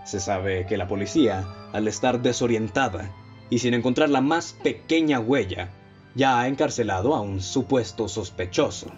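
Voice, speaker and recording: A man with a Mexican accent playing a composed, analytical detective in a radio play, speaking formally and explaining with measured confidence. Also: mid-range voice, close to an everyday voice. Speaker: amateur radio drama actor. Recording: dull lo-fi audio with music bed.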